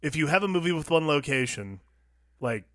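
Laid-back podcast co-host, dry close sound. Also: male voice, on the high side, lively intonation, fairly bright tone, no room sound. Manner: laid-back, rambling, quick to laugh. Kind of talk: casual podcast chat.

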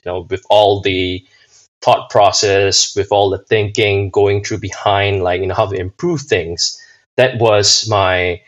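A man from Singapore with a fairly low voice answers interview questions in a loose, chatty way, full of "you know"s and restarts. The sound is dull and lo-fi. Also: a bit bright. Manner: rambling, many fillers and restarts. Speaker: podcast guest.

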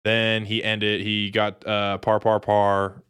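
American man, young adult, sports podcast co-host, low pitch, dry close sound, informal slang. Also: monotone, slow pace, fairly bright tone, smooth, dry recording. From Dallas, Texas, United States. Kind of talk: casual chat about golf.

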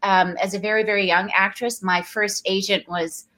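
Middle-aged woman from tanzania, actress chatting away, bright voice, laughs a lot. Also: medium pitch, steady tone, normal speed. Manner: warm, laughing, chatty with many restarts. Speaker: actress, interview guest. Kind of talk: casual interview chat.

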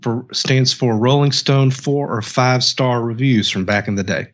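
A man's voice: mid-range voice, unhurried, lo-fi sound. A man with a Southern accent co-hosting a music podcast, playful and joking. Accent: Southern American. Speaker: podcast co-host. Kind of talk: casual chat.

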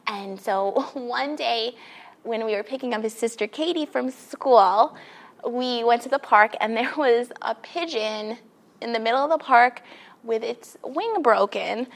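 A woman's voice: fairly high pitch, measured pace, creaky. A woman in her thirties from Queens, New York City, a children's librarian chatting as a podcast guest in an upbeat way and laughing often. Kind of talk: casual interview chat.

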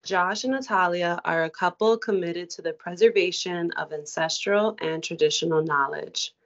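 A woman podcast host reading a guest introduction aloud in a measured way. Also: on the low side, highs cut off.

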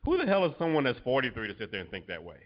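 A man's voice: medium pitch, wildly swooping pitch, very fast, highs cut off. Blunt, jokey man on a podcast. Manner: blunt, jokey, laughs often. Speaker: podcast co-host, fitness coach. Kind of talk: casual podcast chat.

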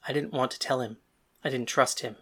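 A woman's voice: extremely low pitch, steady tone, brisk, breathy edge. A woman playing a bitter, defiant god of death in a first-person audio drama. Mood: brooding.

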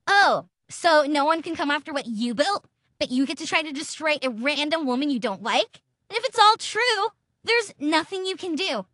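A woman's voice: sits high, bright, forceful tone, no room sound, studio quality, pitched above the performer's own voice. A woman voicing a self-righteous, aggrieved, menacing woman in an exaggerated, accusatory dramatic reading of text messages.

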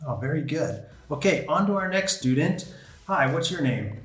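A woman's voice: low-pitched, animated, moderate pace. A woman interviewing young students, encouraging and affirming as she moves from question to question. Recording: echoey room with music behind.

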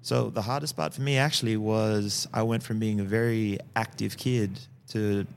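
Podcast guest: man, early 40s, low gravelly voice, calm and steady, tags lines with "yeah?". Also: moderate pace, a little hiss or hum. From Fiji. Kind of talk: personal storytelling in a podcast interview.

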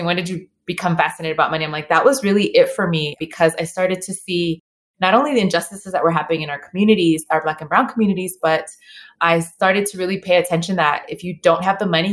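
A woman in her thirties, from El Salvador and raised in Los Angeles, talks through her story as an interview guest. Her voice sits a little low, and she pauses, restarts and uses fillers as she thinks aloud. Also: fairly even intonation. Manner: reflective, earnest, with frequent fillers and restarts. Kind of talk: conversational interview.

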